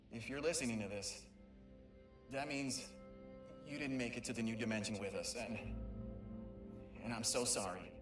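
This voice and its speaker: An actor playing an excitable young male Lombax mapmaker who stammers and rambles, in a video game audio log. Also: medium pitch, unhurried, pauses often, lightly acted. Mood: somber. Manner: stammering, talkative, self-amused. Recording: echoey and distant, music behind.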